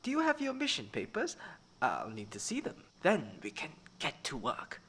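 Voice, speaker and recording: Curt, anxious male spy for radio play: tense, varied pitch, light background ambience. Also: huge pitch swings, moderate pace, pushed and bright, a little hiss or hum, exaggerated voice. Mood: curt, businesslike. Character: anxious, impatient male secret contact, curt and suspect. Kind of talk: audio drama acting.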